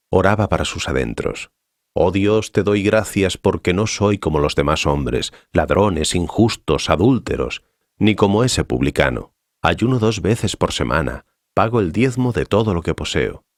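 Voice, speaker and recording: An actor playing a solemn, authoritative male teacher in a dramatized scripture reading, speaking in a measured, didactic way. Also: low voice, dry recording, clean studio sound.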